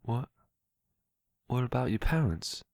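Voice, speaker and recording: A man playing a gentle, simple-hearted young man in an audio drama, speaking softly and tenderly. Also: medium pitch, very animated, measured pace, vocal fry, no echo, clean studio sound. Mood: concerned. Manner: soft, tender.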